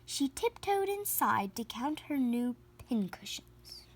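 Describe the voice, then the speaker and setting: Very slow, expressive woman reading a storybook. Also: high-pitched, breathy edge. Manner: theatrical, emphasises sound words. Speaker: storybook reader. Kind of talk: expressive children's picture book read-aloud.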